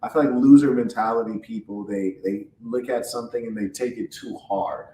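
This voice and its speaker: A man hosting a loose, casual reaction chat, blunt and teasing in how he talks. His voice sits at a middling pitch. He is recorded in a noticeably echoey room with a faint hiss underneath. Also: fairly quick, mellow. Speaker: podcast host.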